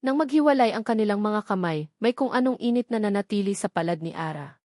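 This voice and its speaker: A woman narrating a scripted love story in a measured, descriptive storytelling manner. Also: medium pitch, animated, darkish tone, no room sound, clean studio sound.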